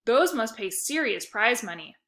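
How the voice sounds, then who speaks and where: Woman reading an eager, scheming schoolboy for a storybook; high, bright, pushy. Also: moderate pace. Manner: pushy, excitable, yelling at dogs. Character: eager, scheming schoolboy dog-walking entrepreneur.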